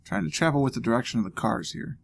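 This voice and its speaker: A man's voice: mid-range voice, fast talker, vocal fry. A man casually thinking aloud while playing a geography guessing game. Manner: thinking aloud, musing and joking. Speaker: let's play host. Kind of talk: casual gameplay commentary.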